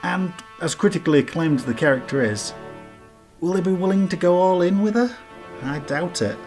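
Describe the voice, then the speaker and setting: A man's voice: high-pitched, moderate pace, a little mellow. Man narrating over music. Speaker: host of a top ten list video. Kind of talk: scripted video narration, informal commentary. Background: background music.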